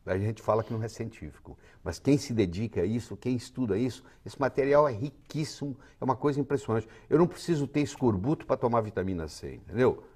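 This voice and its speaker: A man's voice: medium pitch, lively intonation, fast talker, hardly any pauses, a little mellow, breathy edge. A man in his sixties with a Brazilian accent, a physician giving confident, lecturing explanations as an interview guest. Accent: Brazilian Portuguese. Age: 60s.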